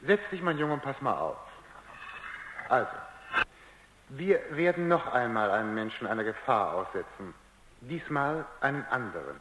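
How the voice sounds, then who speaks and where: A man's voice: mid-range voice, expressive, moderate pace, pauses often, noisy background, lightly acted. An actor playing a defensive, condescending male scientist in a German radio drama. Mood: patronising, instructive. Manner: condescending, stammers when flustered. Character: defensive, patronising male scientist, testy under questioning. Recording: echoey, reverberant radio drama recording.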